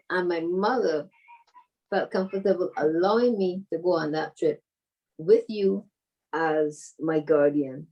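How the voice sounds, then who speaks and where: A woman's voice: on the low side, darkish tone. An older woman gives sentimental, grateful farewell remarks on a group call. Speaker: former cadet giving reunion remarks. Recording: distant microphone on video call.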